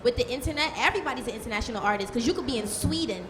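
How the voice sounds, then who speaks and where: A woman's voice: fairly high pitch, animated, racing, noisy background. A seventeen-year-old woman from Brooklyn, a soca artist, talking casually on a panel. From Brooklyn, New York. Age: teens, seventeen.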